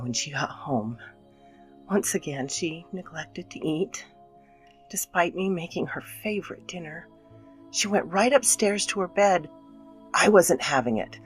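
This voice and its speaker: Woman acting low-voiced anxious mother, dramatic storyteller. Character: anxious, guilt-ridden mother confessing a creepy story. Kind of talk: dramatic scripted horror narration.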